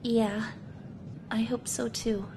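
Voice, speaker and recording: A woman's voice: on the high side, little pitch movement, takes it slowly, echoey room, long reverb tail, background noise. A woman playing an earnest, sorrowful young cadet in an anime parody drama. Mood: downcast.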